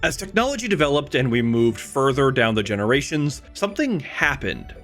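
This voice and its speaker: A man in his thirties narrating a scripted video essay in an emphatic, wry way with dramatic pauses. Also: medium pitch, big pitch swings, fairly bright tone. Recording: music behind the voice. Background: background music.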